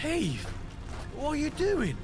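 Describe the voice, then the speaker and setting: An actor with a British accent voicing a humbled, wary, loyal gunfighter in a dramatic video game. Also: male voice, extremely high pitch, huge pitch swings, takes it slowly, music in the background, heavily acted. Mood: alarmed, pleading.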